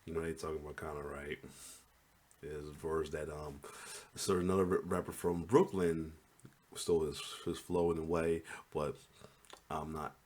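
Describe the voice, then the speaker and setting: A man hosting a reaction video, talking in a relaxed, hesitant way and chiming in with short agreements. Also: extremely low pitch, moderate pace. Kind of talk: casual reaction commentary.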